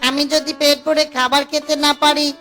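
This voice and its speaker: A cartoon fox husband voiced by a woman, high in pitch and narrow in range. He is poor, simple and kind, with an earnest, plaintive way of talking. Music plays clearly behind him. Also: flat delivery, brisk, pushed and bright. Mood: grumbling, plaintive. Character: poor, simple-minded, kind-hearted male fox auto-rickshaw driver. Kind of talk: children's cartoon voice acting.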